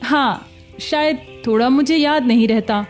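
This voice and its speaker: A woman acting as a hungry young beggar woman in a cartoon story. Her voice is high and pleading, yet she has a sharp tongue and answers back readily. Music plays behind her. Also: a little slow. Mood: sad, confused.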